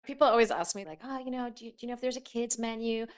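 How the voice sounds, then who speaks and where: Woman podcast host; fast, slightly high, eager interviewer who cuts in. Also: steady tone. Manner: eager, curious, talks over guest.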